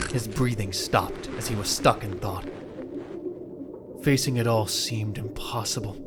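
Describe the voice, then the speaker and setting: A man reading scripted, dramatic narration for an audio drama. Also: on the low side.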